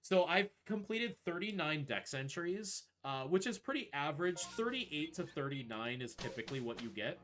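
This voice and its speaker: Bright-voiced man commentating his gaming run. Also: fairly high pitch, animated, normal speed, hardly any pauses. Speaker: speedrunner playing live. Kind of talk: casual live gaming commentary. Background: video game music.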